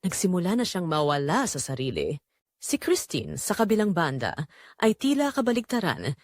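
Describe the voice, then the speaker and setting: Woman, story narrator, scripted romantic drama, animated intonation, measured phrasing, dry recording. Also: lowish voice, dry recording.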